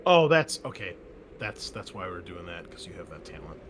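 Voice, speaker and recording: A man running a tabletop game as game master, narrating in a casual, halting way full of fillers. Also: low voice, sing-song, a bit bright, a little hiss or hum.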